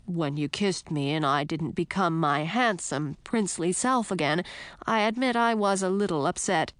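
A woman voicing a self-assured, teasing male frog from a storybook, impatient and boastful in a brisk manner. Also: lowish voice, no room sound, close to an everyday voice. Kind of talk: audiobook character acting.

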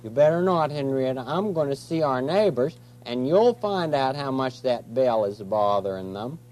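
A man's voice: mid-range voice, animated, moderate pace, a little mellow, a little hiss or hum, close to an everyday voice, poor audio. A man playing a fussy, easily bothered male owl puppet in a children's show, with a folksy, insistent manner. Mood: annoyed. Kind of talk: children's television puppet play.

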